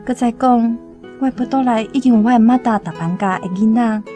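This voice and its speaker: A woman's voice: on the high side, fairly quick, mellow, faint music behind, natural voice. A woman with a Taiwanese accent playing a sorrowful young chieftain's daughter in a radio drama. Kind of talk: first-person radio drama monologue.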